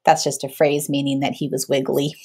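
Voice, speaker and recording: A woman in her thirties reading a picture book aloud to children in an expressive, teacherly way. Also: extremely low pitch, a little fast, breathy edge. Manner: teacherly, checks in with listeners.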